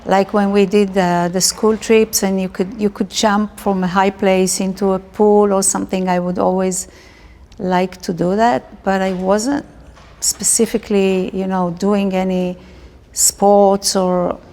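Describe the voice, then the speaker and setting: A woman's voice: mid-range voice, flat delivery, a little slow, mellow. A middle-aged woman from Israel with an Israeli accent, telling personal stories casually as an interviewee.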